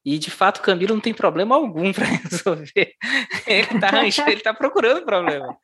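A woman interviewing an author, relaxed and amused, chatting casually. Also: mid-range voice, fast, husky.

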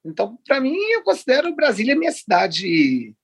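A woman's voice: a little high, wildly swooping pitch, moderate pace, breathy edge. A woman in her sixties from Rio de Janeiro, a writer chatting as a guest in an interview, talking in long digressions and laughing often. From Rio de Janeiro, Brazil. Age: 60s. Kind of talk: casual interview chat.

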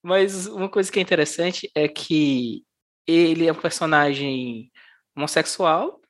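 Low-voiced woman interviewer. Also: a little slow. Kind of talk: casual interview chat.

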